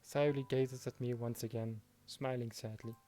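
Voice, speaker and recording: A man's voice: medium pitch, steady tone, moderate pace, dark tone, faint music behind. A man narrating a visual novel aloud for a let's play, mixed with casual commentary.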